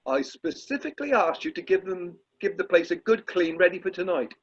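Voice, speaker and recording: A man's voice: sits high, expressive, a little fast. An amateur actor playing a pompous, irritable middle-aged British drama society chairman in a radio play. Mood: indignant. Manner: pompous, self-dramatising, exasperated. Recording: muffled, lo-fi video call audio.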